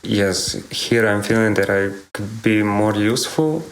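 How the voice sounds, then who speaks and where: A man with a Ukrainian accent giving an informal interview account in hesitant, halting non-native English. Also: fairly low pitch, low-quality recording. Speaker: farmer and volunteer, interviewee.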